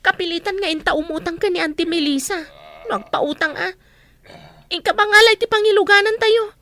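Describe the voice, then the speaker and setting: An actress playing a burdened, reproachful eldest sister in a radio drama. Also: female voice, very high-pitched, brisk, sharp tone, full character voice. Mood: scolding.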